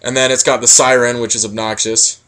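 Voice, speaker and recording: A man's voice: mid-range voice, little pitch movement, fairly quick, sharp tone. A man giving a casual product critique, explaining things in a rambling, matter-of-fact way. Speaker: product reviewer.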